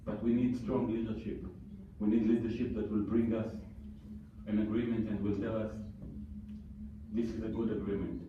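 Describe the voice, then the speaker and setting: A man with an Israeli accent from southern Israel, giving earnest, halting testimony as an activist at a parliamentary hearing, with frequent fillers and restarts. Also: lowish voice, little pitch movement, slight background noise. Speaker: activist speaker. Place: large parliamentary hearing room. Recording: distant, echoey, muffled room recording.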